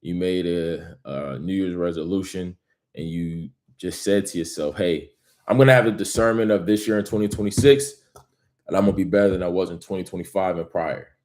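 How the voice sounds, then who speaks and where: A man's voice: sits low, brisk, darkish tone. A man giving a casual motivational vlog monologue, rambling with emphatic bursts and self-help pep talk. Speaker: vlogger.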